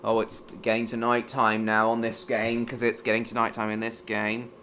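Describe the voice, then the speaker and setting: Male streamer from Hong Kong, bright, forward voice; rambling, talks back to chat, swears at game slip-ups. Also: on the low side, monotone, normal speed, slight background noise. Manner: answers viewers by name, rambling and repeating himself; bursts into swearing at game mishaps. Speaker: live streamer. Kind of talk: casual live-stream chat while gaming.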